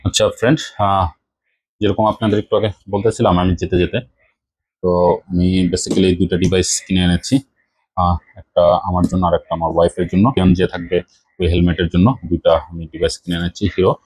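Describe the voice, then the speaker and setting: A man's voice: low-pitched, level tone, fast talker, a little mellow, slightly rough, rough recording. A man with a Bangladeshi accent talking casually as a motorcycle vlogger reviewing gear. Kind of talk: casual product review and vlog talk.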